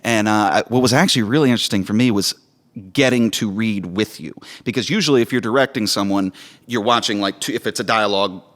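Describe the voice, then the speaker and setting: A man's voice: fairly low pitch, fast talker, a bit bright. A man talking casually and reflectively as a director, explaining his process in a conversational way. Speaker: director with a theater background. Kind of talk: casual behind-the-scenes production chat.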